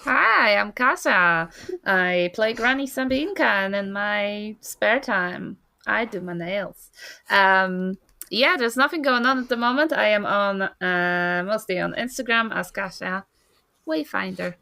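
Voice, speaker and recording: Creaky, bright New woman, wry. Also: medium pitch, a little slow, dry recording. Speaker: podcast player. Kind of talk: casual chat.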